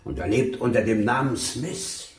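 A man's voice: medium pitch, normal speed, lightly acted. A man acting a sleazy, languid street informant in a radio drama, sly, drawling and amused. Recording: echoey room, distant from the mic.

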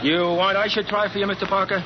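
An actor playing a chummy, cheeky working-class bartender in a 1940s radio comedy, talking in a folksy, colloquial way. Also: male voice, sits high, little pitch movement, fast talker, natural voice. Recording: muffled, noisy 1940s radio recording.

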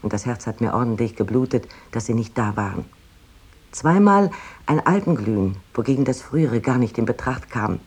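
A woman's voice: very deep voice, animated, normal speed, darkish tone, slightly rough, heavily acted. An actress playing a wry, rapturous woman poet of the 19th century, reading letters expressively in a radio play.

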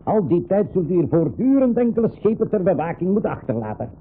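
A man's voice: high voice, big pitch swings, fast talker, noisy background. A man playing an older, stubborn professor and uncle in a 1980s school radio play, speaking emphatically with hesitant repetitions. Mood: firm bargaining. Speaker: radio drama actor. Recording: narrow phone-like old radio recording.

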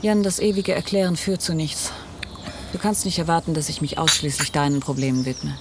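An actress playing an exasperated, sharp-tongued woman in a German radio drama, curt and sarcastic. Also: low voice, fairly even intonation, fairly quick, a little hiss or hum.

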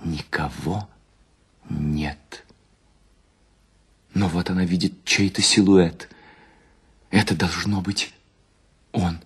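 Husky low-voiced man narrating theatrically. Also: fairly even intonation, slow, pauses often. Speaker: actor reading a short story. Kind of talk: theatrical dramatic literary narration with acted dialogue.

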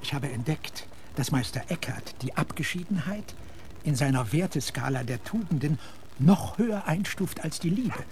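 An actor playing a middle-aged, philosophical gentleman and former officer in a German radio drama, speaking formally, deliberately and thoughtfully. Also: on the high side, expressive, slight background noise. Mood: cheerful, musing.

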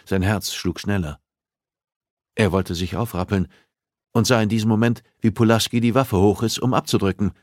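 A man's voice: lowish voice, steady tone, normal speed, darkish tone, dry recording, studio quality. A man reading a thriller novel aloud as scripted audiobook narration.

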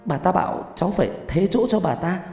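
Scared sick village girl for a horror audio story, played by a man, pitched up, pleading, reverb-laden with music. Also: expressive, a little fast, creaky, dark tone. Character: frightened ailing young girl, village child. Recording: echoey long reverb, music behind.

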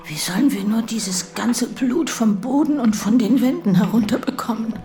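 An actress playing a grief-stricken, exhausted widow in a radio drama, sounding tearful and drained. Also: female voice, on the high side, normal speed, mellow, faint music behind, close to an everyday voice. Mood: grieving, stunned. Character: grief-stricken, exhausted common-law widow of a murdered man.

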